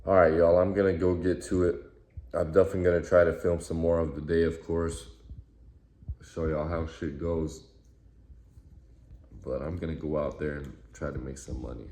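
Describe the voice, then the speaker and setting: A man in his early twenties, from the United States, talking in a reflective, halting way with many repeated phrases in a casual vlog. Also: rumbling low, level tone, warm tone. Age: 20s. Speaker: vlogger. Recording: echoey room with faint noise.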